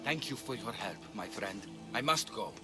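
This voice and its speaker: A man acting as an ancient healer torn by his conscience. His delivery is earnest and measured. It is game drama with clear music behind the voice. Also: fairly low pitch, lively intonation, close to an everyday voice. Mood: resigned. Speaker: video game character. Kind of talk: dramatic game cutscene dialogue.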